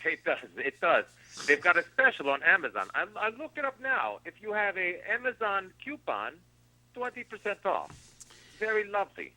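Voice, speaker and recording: A man guesting on a comedy radio show, playing along in a halting, giggly way. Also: high-pitched, huge pitch swings, sharp tone, rough recording. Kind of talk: improvised comedic banter.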